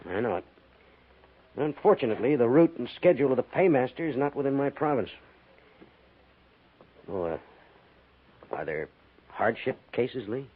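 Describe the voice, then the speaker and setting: Formal army major on tinny old radio. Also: male voice, mid-range voice, animated, husky. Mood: businesslike. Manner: formal, measured, officious. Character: male army major, formal, exacting post commander. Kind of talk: 1950s radio western acting. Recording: narrow, hissy 1950s radio recording.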